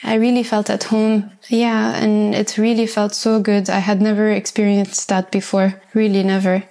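A woman's voice: mid-range voice, flat delivery, moderate pace, dark tone. A Lebanese woman who grew up in Saudi Arabia, giving casual, candid and reflective answers as an interview guest, repeating phrases for emphasis. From Saudi Arabia, in a Lebanese family. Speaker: podcast guest, cookbook writer.

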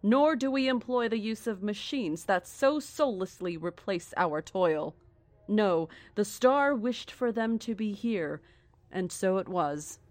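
Coolly menacing cult leader, lilting and formal. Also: female voice, mid-range voice, lively intonation, darkish tone, no echo. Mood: mocking, calm. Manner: formal, measured, condescending. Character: serene, menacing female cult leader, mockingly polite. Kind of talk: audio drama acting.

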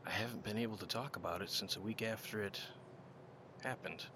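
An actor playing a gentle, fragile patient who speaks in a halting, fearful way. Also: male voice, lowish voice, a little hiss or hum. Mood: haunted. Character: fragile haunted older male patient.

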